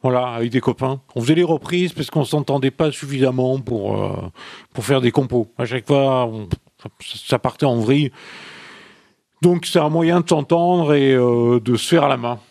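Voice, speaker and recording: Gravelly, rambling male musician. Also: mid-range voice, normal speed, darkish tone, no echo, studio quality. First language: not French. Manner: rambling, reflective, many restarts. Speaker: musician guest. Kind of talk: casual interview answers.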